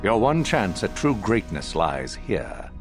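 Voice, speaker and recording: Sinister old sith master for a game, low voice that rises and falls a lot, pompous speechifying. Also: male voice, big pitch swings, slow, music in the background. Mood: solemn commanding. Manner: theatrical, grandiose, condescending oratory. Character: commanding older male Sith master, sinister, solemn and goading. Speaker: academy master addressing recruits. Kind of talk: dramatic scripted video game acting.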